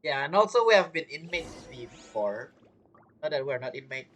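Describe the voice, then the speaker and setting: Animated, bright-voiced male game streamer. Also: fairly high pitch, moderate pace, slight background noise. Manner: chatty, reacting to the game. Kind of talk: casual playthrough commentary, reading game text aloud.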